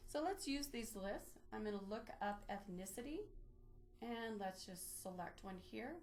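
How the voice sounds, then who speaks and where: A woman presenting an instructional software walkthrough, explaining methodically step by step in a lightly improvised way. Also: mid-range voice, expressive, a little slow. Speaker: presenter of a library database tutorial.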